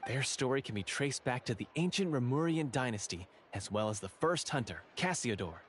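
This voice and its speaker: A man's voice: mid-range voice, animated, brisk, slight background noise, close to an everyday voice. A man voicing a friendly male script manager in a video game, polite and welcoming with a theatrical touch. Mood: storytelling. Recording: game audio with music behind.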